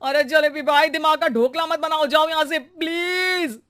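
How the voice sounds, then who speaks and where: A man's voice: very high voice, steady tone, normal speed, pushed and bright, no echo. Man as cartoon householder, exasperated, complaining, theatrical, easily driven mad, studio-dry. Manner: complaining, melodramatic. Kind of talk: exaggerated Hindi cartoon comedy acting.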